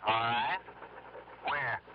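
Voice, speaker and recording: A man's voice: mid-range voice, drawn-out words, muffled recording. An actor playing a guarded, curt male conspirator on the telephone in a radio mystery drama. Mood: wary, curt. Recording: thin, noisy phone voice on old radio.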